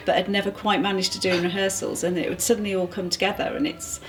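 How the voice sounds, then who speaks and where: A woman's voice: mid-range voice, flat delivery, fast talker, over music. A middle-aged English woman reminiscing casually in conversation. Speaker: community theatre member being interviewed.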